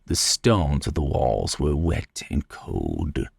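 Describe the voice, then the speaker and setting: A man narrating a literary tale in the first person, deliberate, theatrical and brooding. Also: extremely low pitch, lively intonation, gravelly, slightly rough, no room sound, clean studio sound. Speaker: story narrator.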